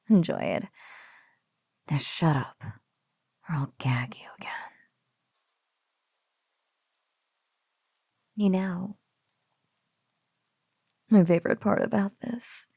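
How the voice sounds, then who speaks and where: A sultry spider-woman played by a woman taunts in an intimate ASMR roleplay. Her delivery is slow and breathy, swinging playfully in pitch and dropping into whispers. It is very dry and close, with a smug, mock-pitying menace. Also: dark tone, no room sound, heavily acted. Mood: teasing, domineering. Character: teasing spider-woman bully, sultry, smugly menacing captor.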